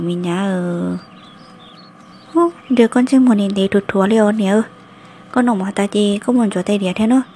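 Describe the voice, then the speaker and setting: An actress voicing a woman in an animated family drama, in an amateur cartoon style. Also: mid-range voice, a little hiss or hum, close to an everyday voice.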